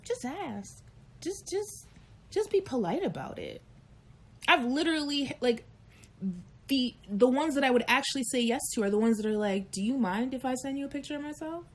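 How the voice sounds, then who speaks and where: High-ish lively woman, late 30s, frank chat-show storyteller doing little voices. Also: moderate pace. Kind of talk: candid casual chat.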